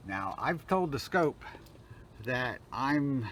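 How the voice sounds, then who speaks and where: A man's voice: mid-range voice, very animated, takes it slowly, a bit bright. A man giving an informal technical demo as a hobbyist, narrating step by step in a plain-spoken way. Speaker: hobbyist demonstrating a homemade telescope automation setup. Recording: noticeable background noise, homemade video.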